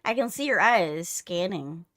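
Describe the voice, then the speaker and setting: A woman's voice: lowish voice, expressive, measured pace, fairly bright tone, no room sound. A woman hosting a livestream in casual chat, teasing her co-host playfully, close on the mic.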